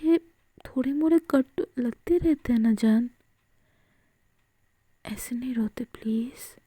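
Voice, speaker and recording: Brief: gentle comforting young woman, done by a female storyteller, hushed and raised in pitch. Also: slow, slightly rough, no room sound. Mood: soothing. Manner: soft, coaxing, pleading. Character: tender young woman soothing her crying partner.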